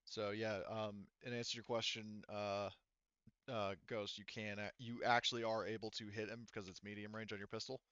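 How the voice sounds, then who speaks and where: A man runs a tabletop game in a casual, halting way, thinking out loud. His voice is bright and clear. The recording is close and dry, though a little muffled and lo-fi. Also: lowish voice, flat delivery, dry recording. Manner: halting, thinks aloud while tallying rules and rolls. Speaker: game master.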